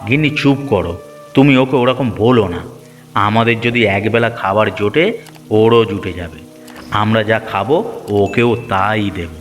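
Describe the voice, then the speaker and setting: A man's voice: on the low side, moderate pace, close to an everyday voice. Man voicing a kindly poor fisherman, good-natured, echoey, music underneath. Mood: gentle. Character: kind-hearted poor fisherman uncle. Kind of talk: Bengali cartoon dubbing.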